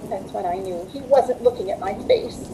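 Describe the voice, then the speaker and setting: A woman's voice: mid-range voice, moderate pace, noisy background, close to an everyday voice. A woman playing a frightened, pleading young woman in an old-time radio suspense drama, with an anxious, dramatic delivery. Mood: tense narrating. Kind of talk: old-time radio suspense acting, first-person narration. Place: indoors at home on a video call.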